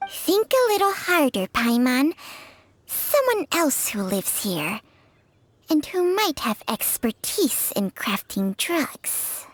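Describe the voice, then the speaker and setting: A woman's voice: high voice, big pitch swings, takes it slowly, heavily acted. An actress voicing an ancient female spirit, proud and teasing, in video game dialogue. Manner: teasing and self-assured.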